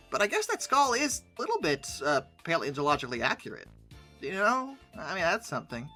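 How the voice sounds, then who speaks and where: Animated, bright-voiced commentator, theatrically exasperated. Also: mid-range voice. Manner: theatrical, exasperated, sardonic, with repetition for emphasis. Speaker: host of a paleoart commentary video. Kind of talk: humorous reaction commentary, part ranting. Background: background music.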